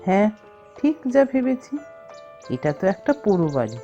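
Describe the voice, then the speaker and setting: A woman voicing an enterprising, hopeful young village man in a cartoon fairy tale, thinking aloud in a deliberate, expressive way. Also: medium pitch, highs cut off, lightly acted. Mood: pondering, hopeful. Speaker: cartoon character voice. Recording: music bed behind the voice.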